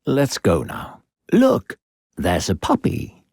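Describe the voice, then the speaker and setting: A male voice actor playing a smooth-talking, secretly sinister video game narrator, theatrical, coaxing and condescending toward a child. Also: fairly low pitch, huge pitch swings, slow pace, darkish tone, dry recording, studio quality, heavily acted. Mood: coaxing, instructive.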